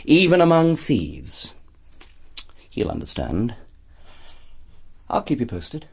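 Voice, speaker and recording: An actor playing a cynical, possessive, menacing thief in a radio drama, with a husky voice and sardonic, insistent delivery. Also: male voice, medium pitch, wildly swooping pitch, takes it slowly, full character voice. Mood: quietly menacing.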